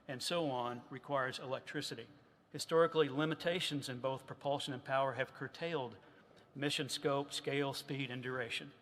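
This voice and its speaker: A man's voice: mid-range voice, a bit bright. A man giving prepared, formal remarks on technology to a government council, reading steadily as an industry executive. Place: large museum hall. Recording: long reverb in a large hall.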